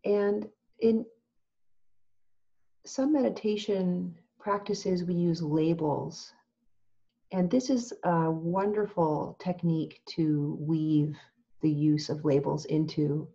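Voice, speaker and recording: Female meditation guide, lowish soft-edged voice, very unhurried and calm, slightly dull lo-fi recording. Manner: calm, gentle, measured with long pauses. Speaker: meditation teacher.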